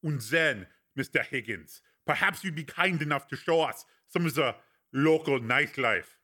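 A man's voice: fairly high pitch, animated, a little slow, sharp tone, heavily acted. A man voicing a cool, commanding investigator in an audiobook, speaking formally and precisely with polite authority. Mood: cool, resolute. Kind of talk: audiobook character acting.

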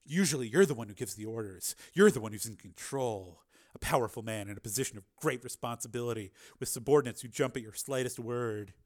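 A male narrator plays a dominant, teasing woman in an audiobook. The delivery is deliberate and ominous, giving orders with controlled authority. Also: mid-range voice, natural voice. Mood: slow teasing.

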